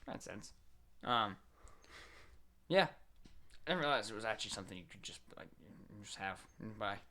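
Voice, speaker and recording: An American man co-hosts a podcast in a slightly low voice with a bright, forceful edge. He talks in a blunt, casual, conversational way. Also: lively intonation, moderate pace. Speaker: podcast co-host. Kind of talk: casual chat.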